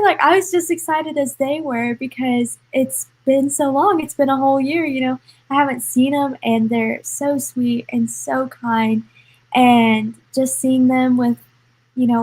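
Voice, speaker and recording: Young woman, slightly high voice, eager and upbeat youth ambassador. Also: low-quality recording. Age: young adult. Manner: warm and enthusiastic storyteller. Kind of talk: panel sharing a story.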